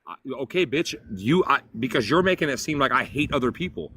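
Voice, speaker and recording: Brief: middle-aged man, rapid-fire delivery, profane and confrontational, debate caller on a video call. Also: medium pitch, sing-song, husky, no echo. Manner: combative, profane, fast and loud. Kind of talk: heated political argument.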